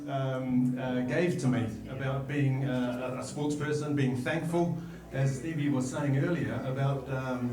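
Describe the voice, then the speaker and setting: A man in his forties with a New Zealand accent, a podcast guest recalling his career in a measured way, with frequent pauses and fillers. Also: medium pitch, roomy sound, far from the mic. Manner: reflective, measured storytelling with many fillers. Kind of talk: podcast interview.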